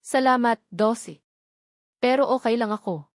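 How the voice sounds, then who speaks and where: A woman voicing a polite, calm young male security guard in a narrated audio drama, speaking softly and respectfully. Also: on the high side, moderate pace, no echo, clean studio sound, lightly acted. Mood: gentle.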